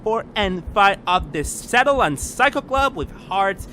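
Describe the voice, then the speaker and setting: An actor as a theatrical male society jester in a retro radio play. His voice is high and bright, arch and flippant, swooping in pitch over faint noise. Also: lively intonation, unhurried, heavily acted. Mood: arch, mocking. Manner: arch, grandiose, drawling. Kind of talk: 1930s radio acting.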